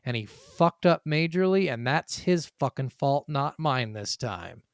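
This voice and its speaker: Blunt, lower-voiced man with clean, dry sound. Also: animated, a little slow, vocal fry, no room sound. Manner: blunt, casually sweary. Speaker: podcast host. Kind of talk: casual gaming podcast chat.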